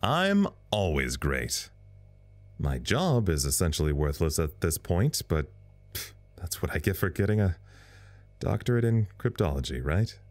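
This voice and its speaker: An actor playing a weary, self-deprecating communications officer in an audio drama, talking in a rambling, wry way. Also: male voice, sits low, sing-song, measured pace. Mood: rambling, wry, nervous. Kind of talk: audio drama recorded log monologue.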